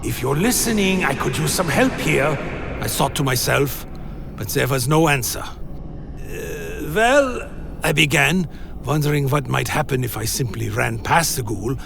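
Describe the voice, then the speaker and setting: A man playing an anxious vampire who recounts his own dark tale in a dramatic radio play. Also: a little high, lively intonation, measured pace, gravelly, background noise, full character voice. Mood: anxious, insistent, then tense, recounting. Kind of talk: first-person dramatic narration, radio play.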